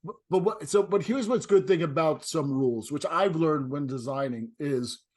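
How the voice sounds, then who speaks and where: A man hosting an interior design talk over a video call, chatty and halting, often restarting his sentences and laughing. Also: on the high side.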